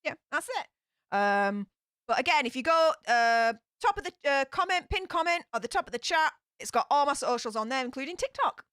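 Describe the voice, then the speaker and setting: A woman's voice: high-pitched, expressive, a little fast, a bit bright, no echo, studio quality. A woman with a broad Yorkshire accent hosting a casual live stream, reading out chat comments in a blunt, feisty way. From Yorkshire, England.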